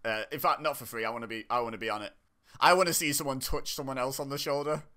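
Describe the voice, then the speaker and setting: A man from the UK talks through a reality show episode in loose, chatty bursts. His voice is bright and forward, and he laughs and trips over his own sentences as he jokes. It sounds like a close mic in a home setup. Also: mid-range voice, quick pace. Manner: chatty, joking, laughs often, self-interrupting. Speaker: online reaction host. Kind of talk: casual reaction commentary.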